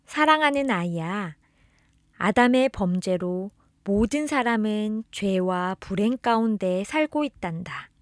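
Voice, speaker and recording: A woman's voice: medium pitch, expressive, slow, dry recording, clean studio sound. A woman reading a scripted children's religious storybook, expressively addressing the listener as a beloved child. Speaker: storybook narrator reading children's catechism tales.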